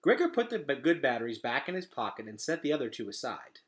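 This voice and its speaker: A man's voice: medium pitch, very animated, fast talker, a bit bright. A man reading a storybook aloud expressively, like a teacher.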